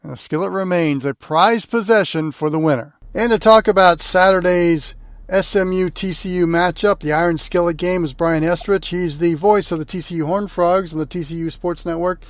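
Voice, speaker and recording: A man's voice: sits high, moderate pace, no room sound. An American man from Arkansas hosting a sports radio show and interviewing guests. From Arkansas, United States.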